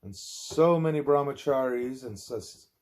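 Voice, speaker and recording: A man reading a spiritual discourse aloud as an audiobook narrator, with deliberate, measured phrasing. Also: mid-range voice, a little mellow. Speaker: audiobook reader.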